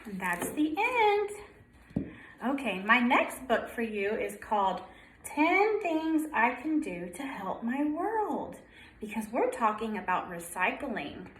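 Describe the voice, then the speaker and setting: A woman's voice: on the high side, big pitch swings, takes it slowly, a bit bright, a little hiss or hum. A woman preschool teacher reading a picture book aloud to young children, prompting with questions and sing-song counting. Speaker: preschool story-time teacher. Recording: roomy echo.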